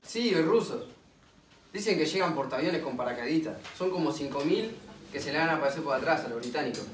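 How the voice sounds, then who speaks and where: A man's voice: fairly high pitch, animated, brisk, natural voice. A man with an Argentine accent playing a curious young conscript newly arrived at a war dugout, in stage play dialogue. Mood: plain, inquisitive. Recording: echoey distant room, faint noise.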